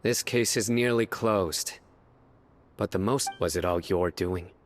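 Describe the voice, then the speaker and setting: A man's voice: deep voice, a little slow. A man voicing a righteous, judge-like young enforcer in a video game, solemn, blunt and commanding. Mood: stern, probing. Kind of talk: video game character acting. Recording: loud music and effects behind.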